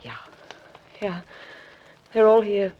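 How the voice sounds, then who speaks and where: A woman's voice: mid-range voice, big pitch swings, slow pace, muffled recording, faint music behind. An actress playing an anxious, scheming mystery woman in 1940s radio style, urgent and pressing. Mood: anxious, insistent.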